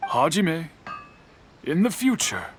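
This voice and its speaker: A man voicing a stern, dignified older master craftsman in a video game, measured and formal, with music behind. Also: a little high, wildly swooping pitch, full character voice. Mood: solemn, proud. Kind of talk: dramatic video game voice acting.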